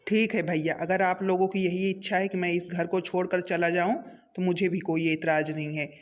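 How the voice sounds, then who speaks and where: A man's voice: high voice, fairly even intonation, moderate pace, lightly acted. A man voicing a principled, caring younger brother in a family comedy cartoon, speaking in an earnest, protesting way. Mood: hurt, firm.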